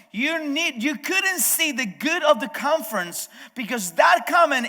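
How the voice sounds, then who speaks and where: A man's voice: extremely high pitch, fairly bright tone. A male pastor whose first language is Spanish, preaching a sermon in a conversational way, speaking directly to the congregation and often restarting his sentences. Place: church service. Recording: echoey hall.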